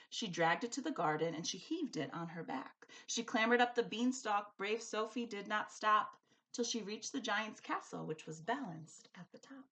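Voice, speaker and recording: A woman reads a children's story aloud in rhyme. Her delivery is expressive and sing-song, like a teacher reading to pupils. The pitch moves widely around a middle register. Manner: animated, sing-song rhythm following the rhyme.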